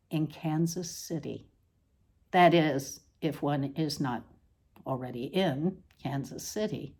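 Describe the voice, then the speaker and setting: A woman narrates a scripted literary essay in a lowish, warm and mellow voice. Her intonation moves widely and animatedly, while her phrasing stays measured and deliberate, leaning on key words. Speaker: essay reader.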